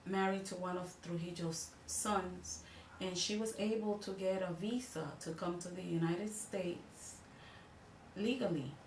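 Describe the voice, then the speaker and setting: A Dominican woman with a fairly low voice recalls her family's past, stopping and restarting as she reaches for the right words. She sits well back from the mic in an echoey room with faint noise behind her. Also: a little mellow, distant mic. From Dominican Republic. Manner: halting, searching for words and repeating herself. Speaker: interviewee. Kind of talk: recounting family history.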